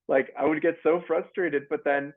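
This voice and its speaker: A man's voice: a little high, fairly even intonation, moderate pace, narrow, tinny audio. A young man from Canada answering interview questions over a video call from home, loose and easygoing, with lots of fillers. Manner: loose, many fillers and restarts. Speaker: actor guest. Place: home in Toronto. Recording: thin video-call audio.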